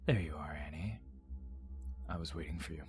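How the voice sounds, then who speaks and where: An actor playing a smug, manipulative villain in an audio drama, breathy and teasing, often dropping into a menacing whisper with mock politeness. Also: male voice, a bass voice, a little fast, darkish tone, soft background music.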